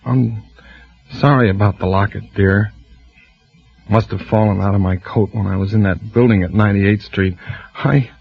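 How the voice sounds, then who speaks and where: An actor playing a haunted man confessing his obsession with fires, in soft, weary 1940s radio acting. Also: fairly low pitch, fairly even intonation, normal speed, creaky, long pauses, husky. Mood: weary, remorseful. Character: haunted male firebug, quietly confessing, fire-obsessed. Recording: muffled old radio broadcast with music.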